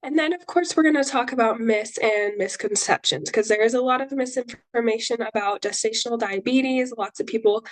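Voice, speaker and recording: A woman's voice: on the high side, fairly quick, few pauses, poor audio. A young woman dietitian getting over a cold, presenting a webinar in a chatty, reassuring way with many ums. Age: young adult. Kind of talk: casual educational presentation.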